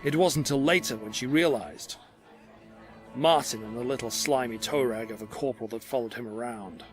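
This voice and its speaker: Man, medium pitch, dramatic scripted storytelling, audio drama narrator, clear music underneath. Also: moderate pace.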